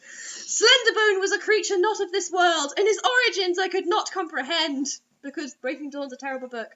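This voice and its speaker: Very high-pitched, theatrical woman reading stories. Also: moderate pace, sharp tone. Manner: theatrical, sarcastic. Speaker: host reading bad online fiction aloud. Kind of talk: dramatic story reading with mocking asides. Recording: dull lo-fi recording.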